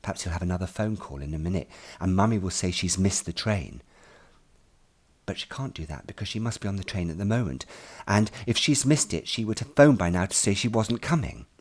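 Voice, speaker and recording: A man's voice: deep voice, fairly quick. A man reading an audiobook as an anxious, earnest ten-year-old schoolboy telling his worries in the first person. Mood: anxious waiting. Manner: earnest, fretful, expressive storytelling. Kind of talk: first-person audiobook narration in present tense.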